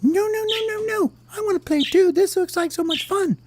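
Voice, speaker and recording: A man voicing a small, eager, cheeky worm in a squeaky falsetto for a children's story. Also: normal speed, noisy background. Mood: excited, reassuring. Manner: coaxing, excitable, stretched-out calls.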